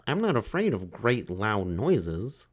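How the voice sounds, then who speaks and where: A man's voice: lowish voice, sing-song, takes it slowly, exaggerated voice. A man voicing a timid, earnest little boy turtle from a storybook, politely pleading.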